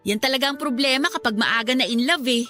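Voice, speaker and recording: A woman's voice: sits high, fast, sharp tone. A woman playing a frank, teasing younger sister in a Filipino radio drama, blunt and cheeky, with a Filipino accent. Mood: frank, scolding. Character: frank, teasing teenage younger sister, wiser than her age. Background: music.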